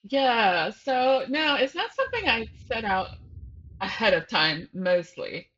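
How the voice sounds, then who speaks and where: A woman's voice: a little high, slow, breathy edge, highs cut off. A woman author being interviewed, chatting casually and telling stories by acting out thoughts and bits of dialogue. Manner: animated storyteller, acts out thoughts and dialogue.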